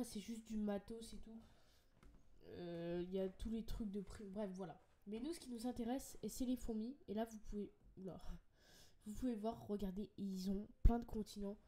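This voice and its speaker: Young French female host, slightly congested, chatty hobby vlogger, warm dark voice. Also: mid-range voice. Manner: chatty and enthusiastic, many fillers and restarts. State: has a cold, a bit congested. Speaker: ant-keeping channel host. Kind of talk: casual screen-share product walkthrough.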